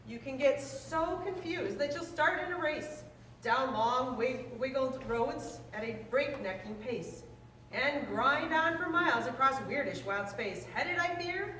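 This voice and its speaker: A woman reading a children's rhyming book aloud in a lively, sing-song way. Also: high-pitched, unhurried. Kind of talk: read-aloud storytelling. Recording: roomy, distant mic, faint noise.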